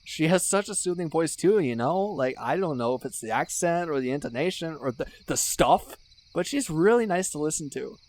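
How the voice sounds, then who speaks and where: A man's voice: fairly high pitch, animated, normal speed, a little mellow, close to an everyday voice. Man acting as an effusive, dramatic best friend. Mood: admiring. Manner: effusive, dramatic, gushing with mock-serious exaggeration. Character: excitable, sentimental male best friend and ASMR superfan. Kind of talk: ASMR roleplay acting, casual one-sided chat.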